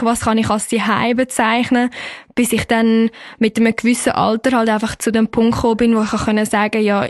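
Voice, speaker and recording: A woman's voice: fairly high pitch, no echo, clean studio sound. A woman in her early twenties from Switzerland, with a Swiss German accent. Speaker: slam poet, interview guest.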